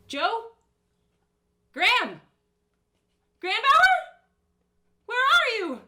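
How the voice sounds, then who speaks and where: Casting: woman performer as naive young heroine of an audio drama, stranded and betrayed, plucky, melodramatic, very animated delivery. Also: very high-pitched, drawn-out words, pitched above the performer's own voice, full character voice. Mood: anxious calling. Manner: dramatic, exclaiming, stammering in distress. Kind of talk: first-person audio drama storytelling.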